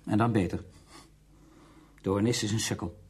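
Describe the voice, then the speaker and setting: An actor playing a conceited, sardonic conductor with a self-important, dismissive manner in a radio play. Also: male voice, fairly low pitch, steady tone, moderate pace, a little mellow, natural voice. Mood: scornful, boastful. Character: conceited, sardonic male orchestra conductor, blunt and worldly.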